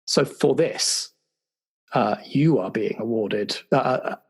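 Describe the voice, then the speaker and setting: A man's voice: medium pitch, slow, husky. A man playing an awkward, apologetic intelligence agency official who stammers officiously through a debrief in an improvised roleplay show. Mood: formal, halting praise. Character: awkward, apologetic male spy agency bureaucrat giving a debrief.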